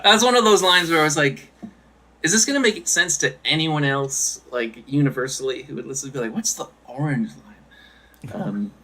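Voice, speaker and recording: A man from the area, singing his own song over guitar and then chatting softly. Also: on the high side, normal speed. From Boston area. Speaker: singer-songwriter guest. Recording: loud guitar music behind the singing.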